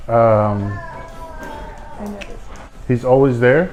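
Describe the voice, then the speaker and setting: A man's voice: medium pitch, very slow, a little mellow, dull audio, soft background music. A man from Tonga who moved to America as a teen, guessing word meanings in a hesitant, unsure way. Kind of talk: casual family game.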